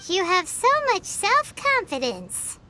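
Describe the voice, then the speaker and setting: A woman voicing a small childlike fairy companion in a video game, squeaky, blunt and curious. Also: huge pitch swings, slow, background noise, exaggerated voice. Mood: unimpressed. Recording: loud background music. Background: game music.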